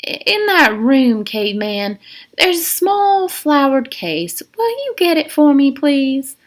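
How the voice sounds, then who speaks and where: A woman's voice: sits high, sing-song, slow pace, pitched above the performer's own voice, exaggerated voice. Coolly menacing woman warden, voiced by a female reader. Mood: cool, commanding. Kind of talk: storybook character reading.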